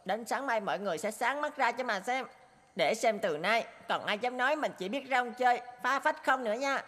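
A woman voicing a naive, boastful and stubborn young boy donkey in an animated fairy tale. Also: a little high, a little fast. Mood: triumphant, cocky. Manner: boastful, whiny, talks to himself.

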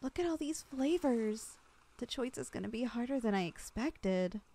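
Young woman, slightly higher voice, ASMR girlfriend roleplay; soft, affectionate, lightly teasing. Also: lively intonation, normal speed. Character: loving long-distance girlfriend, young woman, teasing and tender.

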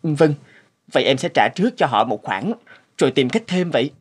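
A man voicing a dutiful, humble young son in an animated moral tale, speaking politely and earnestly. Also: on the high side, a little fast, husky. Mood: pleading. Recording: music under the dialogue.

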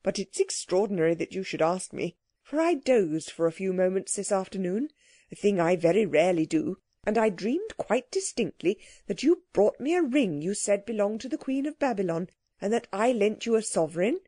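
A woman voicing a kindly, learned older gentleman, courteous and musing, in a storybook reading. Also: mid-range voice, very animated, normal speed, slightly rough, dry recording, studio quality. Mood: puzzled.

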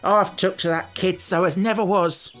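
A sour, sneering footman in an old-fashioned story, quick to answer back, as the reader puts him on. Soft music runs faintly underneath. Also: mid-range voice, fairly even intonation, voice pushed up, natural voice. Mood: defiant.

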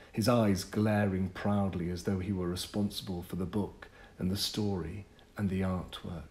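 A man reading a literary short story as an audiobook, with measured, deliberate phrasing and pauses. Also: on the low side, darkish tone. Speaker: audiobook narrator. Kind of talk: scripted literary story narration.

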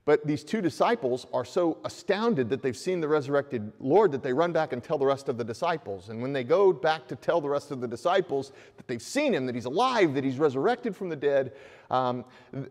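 A middle-aged man preaching a sermon from a church pulpit, reading scripture and rising in pitch with energy as he presses his points. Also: fairly quick. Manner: animated preaching with raised hands, voice climbing when stressing a point. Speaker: pastor. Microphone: far camera. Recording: long church reverb.

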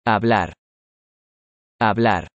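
A man reading a vocabulary list in a measured way, saying each word twice for learners. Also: on the low side, steady tone, no echo, muffled recording. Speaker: Spanish vocabulary reader.

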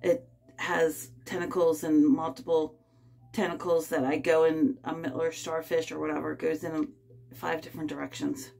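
Fifties woman, low voice, rambling phone vlog from a bathroom. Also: level tone, gravelly, a little mellow. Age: 50s. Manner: rambling, halting, many fillers. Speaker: vlogger. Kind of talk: casual personal vlog. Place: small bathroom, in a bathtub. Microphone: phone held close.

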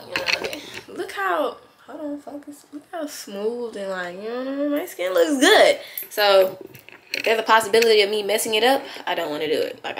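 A woman's voice: mid-range voice, a bit bright, a little hiss or hum. A teenage girl, vlogging in a casual, rambling way, with a stuffy, congested nose. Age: teens. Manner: chatty and candid, rambling asides. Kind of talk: casual vlog chat.

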